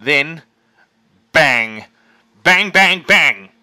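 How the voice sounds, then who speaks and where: A man doing a slow, smug, crafty farmer. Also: on the high side, animated, pushed and bright, clear, resonant tone, dry recording, pitched above the performer's own voice, exaggerated voice. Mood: scheming. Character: thin, crafty, cider-drinking farmer.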